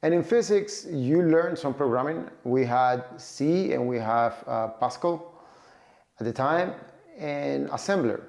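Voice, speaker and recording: A man's voice: mid-range voice, lively intonation, a little slow, gravelly, a little mellow. A man in his forties from Cuba, with a Cuban accent, talking casually about his own path into tech, with many fillers and repeated words. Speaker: data and AI tech professional. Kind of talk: casual personal talk to camera.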